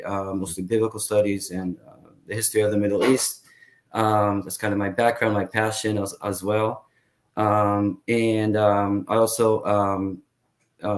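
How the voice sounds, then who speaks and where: A man in his thirties from Israel, talking as an interview guest in a hesitant way, with many fillers and pauses. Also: lowish voice. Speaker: guest, tour guide. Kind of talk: casual interview talk.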